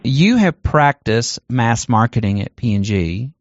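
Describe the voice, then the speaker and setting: Brisk male show host, dry sound. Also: mid-range voice, very animated, no room sound, dull audio. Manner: brisk, formal announcer delivery. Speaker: podcast host. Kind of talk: scripted interview introductions and questions.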